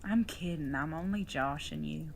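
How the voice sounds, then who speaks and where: A woman's voice: low voice, normal speed, vocal fry, slight background noise. A woman acting in an audio drama as a retired outlaw mother, older, fond and teasing, with a folksy Old West way of talking. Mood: teasing, curious.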